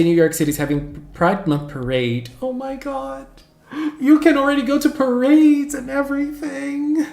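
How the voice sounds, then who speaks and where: Animated man streaming in an echoey room. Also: sits high. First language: Portuguese. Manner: animated, gushing, squeals greetings to viewers. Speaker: livestream host. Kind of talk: casual chat with viewers. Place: home room. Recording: echoey room with faint background music.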